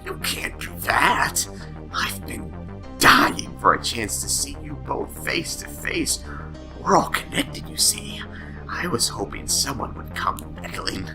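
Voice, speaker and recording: Slow, hissing, sinister madman. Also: sits low, very animated, creaky, pitched below the performer's own voice, heavily acted, poor audio. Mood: taunting glee. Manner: slow, theatrical, teasing with drawn-out words. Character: sinister, taunting, lonely madman lurking in darkness. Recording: background music under the voice.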